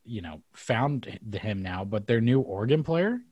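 A man's voice: on the low side, lively intonation, a little slow, a little mellow, husky, no room sound, lo-fi sound. A young man hosting an interview podcast, conversational and enthusiastic, with plenty of "you know"s. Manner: enthusiastic, conversational, many fillers. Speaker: podcast host. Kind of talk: casual interview chat.

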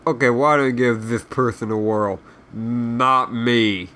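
A man's voice: medium pitch, steady tone, unhurried, fairly bright tone, natural voice. A man voicing a surly, self-loathing sprite guide who rambles sarcastically and swears as he insults, in a comic read-aloud. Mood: scornful, bitter. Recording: clearly noisy background.

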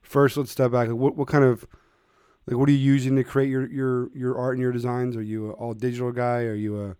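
A man's voice: mid-range voice, little pitch movement, a little fast, warm tone, clean tone, no room sound, studio quality. A man hosting a podcast, asking casual questions and often restarting them. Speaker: podcast host.

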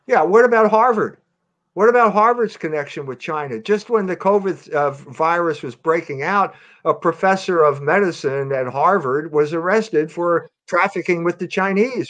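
A man's voice: sits high, a bit bright. A man giving conversational political commentary, emphatic and repeating himself to drive a point home. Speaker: commentator on a news talk show.

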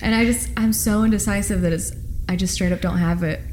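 A woman's voice: medium pitch, fairly even intonation, a little fast, a little mellow, echoey room, slight background noise. A woman in her twenties co-hosting a podcast in casual chat, rambling with lots of fillers and poking fun at herself. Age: 20s. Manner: rambling, lots of "like", self-mocking. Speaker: podcast co-host.

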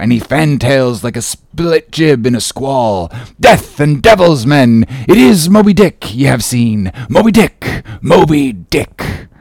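A man's voice: mid-range voice, vocal fry, a little mellow, no room sound, studio quality. A man voicing a vengeful, obsessed old whaling captain, declaiming in archaic, impassioned speech in a dramatic novel reading. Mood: grim excitement.

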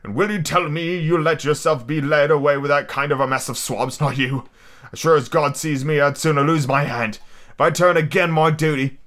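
A man's voice: a little high, steady tone, normal speed, fairly bright tone, close to an everyday voice. A man playing a defiant honest sailor, raised voice. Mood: earnest, shaken. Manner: earnest, rustic seaman's speech.

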